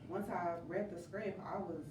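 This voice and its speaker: A woman's voice: on the low side, fairly even intonation, moderate pace. A woman talking casually and reflectively as a cast member, earnest and emphatic, repeating words for stress. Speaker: new stage play cast member. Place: indoor room with a group. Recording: echoey room, distant mic, noisy ambience.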